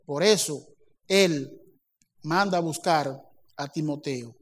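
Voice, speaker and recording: Male preacher, high pitch, animated sermon delivery with acted-out dialogue. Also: slow. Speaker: pastor.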